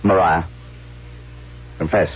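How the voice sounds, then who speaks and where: An actor playing a stern Confederate colonel with a formal, courtly manner in a 1970s radio drama. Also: male voice, sits low, steady tone, slow pace, breathy edge, heavily acted. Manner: formal, courtly, pleading to agitated. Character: stern Confederate colonel, torn by love, urgently commanding. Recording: dull vintage radio recording.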